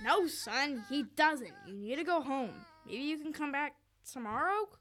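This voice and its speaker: A high-voiced performer playing a tired, exasperated young husband in an amateur comic radio play. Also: animated, a little slow, over music. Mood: weary, firm. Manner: pleading, exasperated.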